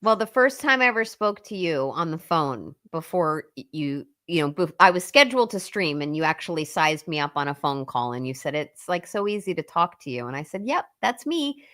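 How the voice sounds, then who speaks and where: A woman attorney guesting on a show over a video call, joking and bantering quickly in casual chat. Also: low-pitched, big pitch swings, fairly bright tone.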